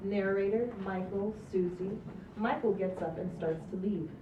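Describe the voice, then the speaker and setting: Female stage-directions reader for a table read: medium pitch, distant mic, strong room echo. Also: a little slow. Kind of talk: reading script directions at amateur table read. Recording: muffled, echoey, distant, noisy mic.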